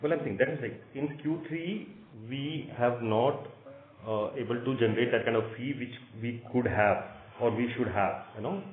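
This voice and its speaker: An Indian-accented man, a company executive giving halting, off-the-cuff answers with repeated phrases on an earnings call. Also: medium pitch, slight background noise. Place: conference call. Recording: roomy echo, narrow phone-like line.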